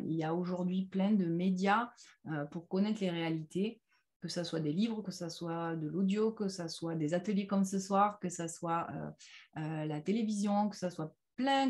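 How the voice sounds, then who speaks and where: A woman leading an informal online personal development workshop, speaking haltingly and leaning on key phrases with everyday examples. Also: on the low side, a little mellow. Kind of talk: informal interactive online workshop talk with slides.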